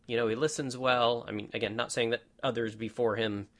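A man hosting a casual rally podcast, his voice bright and forward. He talks in an upbeat, chatty way and laughs easily. The pitch sits in a plain middle range. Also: fairly even intonation, brisk. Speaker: podcast host.

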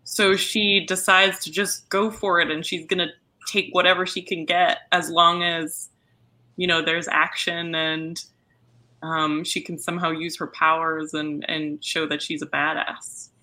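A woman from Germany, a voice actor, chatting casually as an interview guest in an animated, halting way. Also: fairly low pitch. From Munich, Germany.

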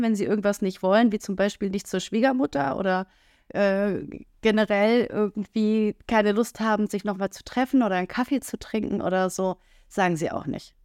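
A woman co-hosts a relaxed talk podcast, speaking in a frank, earthy way. She drops frequent filler sounds and now and then acts out quoted lines. The recording is dry and close, with no room echo. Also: medium pitch, clean tone. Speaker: podcast co-host. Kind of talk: casual podcast conversation.

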